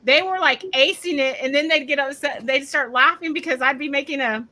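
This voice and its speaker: A woman's voice: high-pitched, fairly quick, sharp tone. A woman co-hosting a casual live panel chat, talking freely and laughing often. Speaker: co-host.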